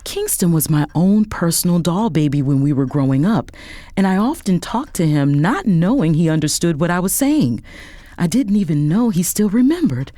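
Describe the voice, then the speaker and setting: A woman playing a hurt adult daughter who narrates her family's rift in a measured first-person audio drama. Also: fairly low pitch, very animated, warm tone, no echo. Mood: wounded, reflective.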